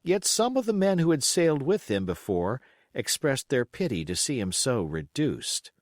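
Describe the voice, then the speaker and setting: Man, audiobook narration, expressive storytelling, animated pitch movement, medium-pitched voice, dry recording. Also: moderate pace, dry recording. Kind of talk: scripted literary narration.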